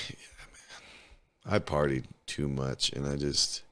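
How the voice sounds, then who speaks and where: A hoarse man in his twenties from New Mexico, a podcast guest telling candid, profane stories in heavy slang. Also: rumbling low, level tone, slow, fairly bright tone. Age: 20s. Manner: laid-back, profane, storytelling, heavy slang. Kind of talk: casual candid interview chat.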